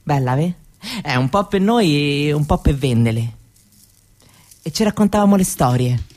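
An actress playing an easygoing young Roma daughter, chatting colloquially in a Roman accent as part of a theatre monologue. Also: female voice, low voice, lively intonation, moderate pace, a little mellow. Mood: fond, casual. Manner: colloquial, chatty, answering an unseen listener. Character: easygoing young Roma daughter reminiscing about camp life.

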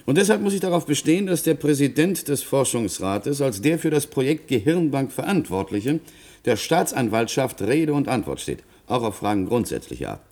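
An actor playing an insistent, articulate state prosecutor in a radio play, formal and emphatic. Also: male voice, medium pitch, sing-song, moderate pace, darkish tone. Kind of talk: German radio play acting.

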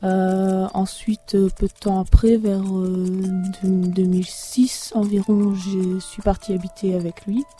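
A woman from Belgium who writes the lyrics for a duo, answering interview questions haltingly with many fillers. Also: mid-range voice, level tone, mellow, faint music behind. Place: backstage after a concert.

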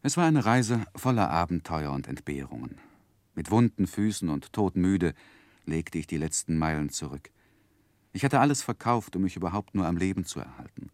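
An earnest narrator looking back on his boyhood in a 1960s radio drama. The voice is very low yet moves expressively, with measured, literary phrasing and a close, dry sound. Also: expressive, a little mellow, no echo. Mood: reflective. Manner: measured, reflective, literary storytelling. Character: earnest grown man narrating his own boyhood memoir.

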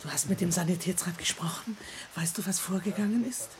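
A woman's voice: fairly low pitch, a little fast, close to an everyday voice. An actress playing an anxious, caring middle-aged mother in a German radio play, earnest and urgent. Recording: faint noise on old radio recording.

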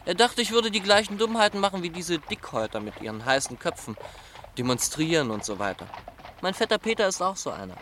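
An actor playing a flippant, chatty young aristocrat student, a governor's son, in a German radio drama. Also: male voice, high voice, sing-song, a little fast, noisy background. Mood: chatty, candid. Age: young adult. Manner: chatty, irreverent, theatrical.

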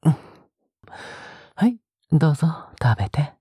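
A male actor playing a possessive young captor in an intimate audio drama, coaxing softly and often slipping into a whisper. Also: a little high, very animated, measured pace, no echo, clean studio sound, exaggerated voice. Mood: doting, obsessive. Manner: soft, coaxing, often dropping to a breathy whisper. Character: possessive, sickly-sweet young male captor doting on a pet-like girl. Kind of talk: intimate audio drama acting, spoken to the listener.

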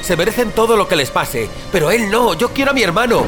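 A man's voice: sits high, animated, fast. A man with an accent from Spain playing a desperate, protective, doom-obsessed brother, urgent and pleading, in an audio drama. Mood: desperate, insistent. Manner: urgent, pleading, foul-mouthed. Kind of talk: Spanish audio fiction acting. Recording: dramatic music bed under the voice.

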